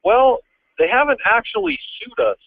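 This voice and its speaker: A man's voice: very high voice, sing-song, moderate pace, vocal fry, dry recording. An American man chatting casually as a radio phone guest, plain-spoken and folksy. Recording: narrow phone line on radio.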